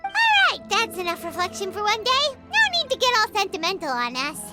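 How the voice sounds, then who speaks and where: A woman's voice: extremely high pitch, wildly swooping pitch, brisk, sharp tone, exaggerated voice. A woman voicing a tiny, bubbly female fairy companion who talks about herself in the third person. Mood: teasing. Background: game music.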